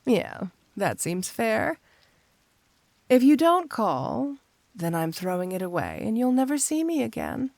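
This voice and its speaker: Slow, creaky, flirty supervillainess, acted by a woman. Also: fairly high pitch, very animated, no room sound, exaggerated voice. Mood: playful, guarded.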